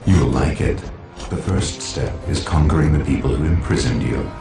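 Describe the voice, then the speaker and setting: Robot villain avatar: calm menace, coolly taunting, gravelly rasp, roomy echo with music behind. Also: male voice, moderate pace. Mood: cold, taunting. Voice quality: deep, gravelly. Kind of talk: video game villain acting.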